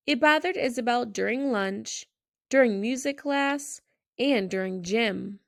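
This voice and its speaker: Higher-voiced, creaky woman reading a storybook. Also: unhurried, no echo, clean studio sound. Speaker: children's read-aloud narrator. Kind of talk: expressive scripted storybook narration.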